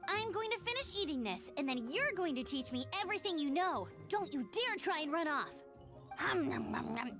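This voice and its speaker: Anime game girl knight: bubbly, youthful, high-pitched, big swings in pitch. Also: very animated, moderate pace. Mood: gushing. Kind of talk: anime game dub acting. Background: music.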